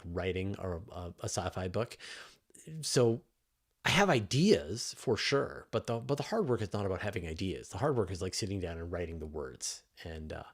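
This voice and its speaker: A man's voice: fairly low pitch, gravelly. A middle-aged man hosting a casual question-and-answer stream, explaining science off the cuff in an emphatic, conversational way. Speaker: host answering live audience questions on space and astronomy.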